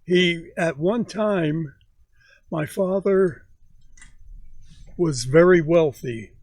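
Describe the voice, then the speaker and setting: A man in his nineties recalling his childhood for a family interview, speaking slowly with halting pauses. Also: a little high, a little mellow, no room sound. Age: 90s. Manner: slow, halting recollection with many pauses. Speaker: grandfather telling stories.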